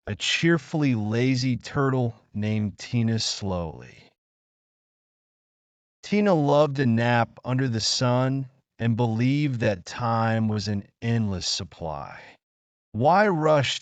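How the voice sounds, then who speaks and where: A man narrating scripted children's bedtime stories in an expressive, deliberate storybook manner. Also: lowish voice, clean tone, no room sound.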